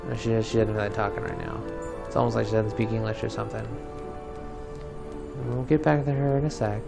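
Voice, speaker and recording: A man's voice: lowish voice, sing-song, brisk, creaky. A man giving casual, sarcastic and grumbling commentary as he plays a video game. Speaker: video game let's player. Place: voice-over over gameplay, off camera. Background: game music.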